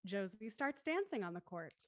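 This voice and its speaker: A woman's voice: mid-range voice, sing-song, moderate pace, dry recording, clean studio sound. A woman reading stage directions as scripted narration for a drama.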